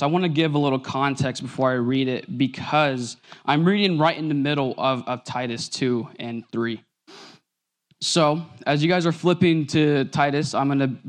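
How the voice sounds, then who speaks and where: A man in his twenties preaching in an earnest, informal way, with fillers and self-corrections as he reads scripture. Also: mid-range voice. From Nebraska. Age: 20s. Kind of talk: sermon.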